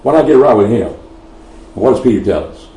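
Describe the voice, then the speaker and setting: A man's voice: medium pitch, expressive, normal speed, warm tone. A man with a Texan accent preaching a sermon, swelling emphatically on key phrases and often restarting his sentences. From Texas. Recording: roomy echo, mic at a distance.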